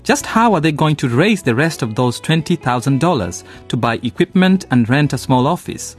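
A man's voice: medium pitch, expressive, moderate pace. A man presenting a radio series with scripted narration addressed to listeners. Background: light guitar music.

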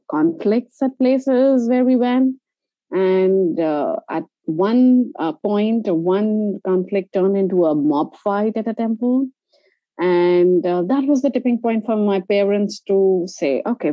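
A woman's voice: lowish voice, dark tone, no echo. A woman with an Indian accent, answering as an interview guest in a conversational, explanatory way. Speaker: interview guest, travel company founder. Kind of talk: conversational personal storytelling. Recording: dull, lo-fi audio.

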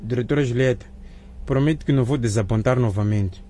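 A man's voice: mid-range voice, brisk, warm tone, lightly acted. A man playing a polite, sheepish teenage pupil in a radio drama, hesitant and subdued. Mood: sheepish, evasive.

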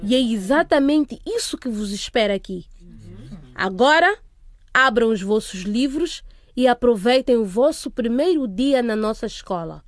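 Firm, high-voiced school headmistress. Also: female voice, lively intonation, measured pace, dry recording. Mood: commanding. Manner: commanding, formal. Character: authoritative, kind but firm school headmistress. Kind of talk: radio drama acting.

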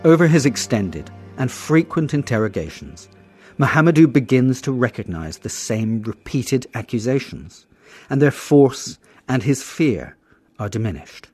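A man's voice: mid-range voice, big pitch swings, a little slow, darkish tone, husky, no echo, soft background music. A man reading scripted narration to introduce an extract.

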